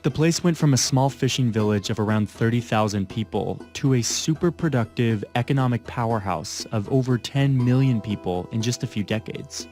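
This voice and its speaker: A man narrating a documentary in a measured, explanatory way. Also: medium pitch, a little mellow, faint music behind. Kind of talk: scripted narration.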